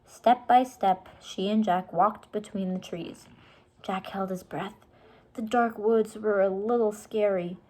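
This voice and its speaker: A woman reading a storybook aloud to young children, pausing to explain things to them. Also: mid-range voice, steady tone, dark tone. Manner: explains words and asks questions for young listeners. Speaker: storybook reader.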